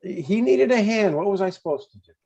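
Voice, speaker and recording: A man's voice: high voice, lively intonation, brisk, mellow, husky, heavily acted. An older man playing a blunt, stubborn but affectionate father in a comedy table read, over dull stream audio. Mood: blunt, grumbling. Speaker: amateur actor.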